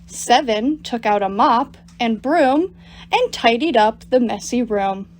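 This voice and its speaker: A woman reading picture books aloud to children as a storytime reader, in an animated, deliberate storytelling manner. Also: fairly high pitch. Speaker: storytime reader at a public library. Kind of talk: expressive picture-book read-aloud for children.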